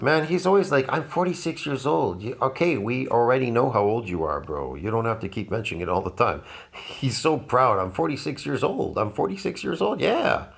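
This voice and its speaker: A man's voice: very animated, moderate pace, creaky. A man in his fifties giving mocking, sarcastic commentary on a fitness clip. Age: 50s. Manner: mocking, sarcastic, jumps in pitch. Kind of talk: reaction commentary.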